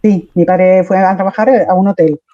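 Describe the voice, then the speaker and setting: A woman's voice: fairly low pitch, steady tone, normal speed, mellow, no room sound, dull audio. A woman from Mexico, a guest in a casual interview, chatty and talking in long run-on stretches.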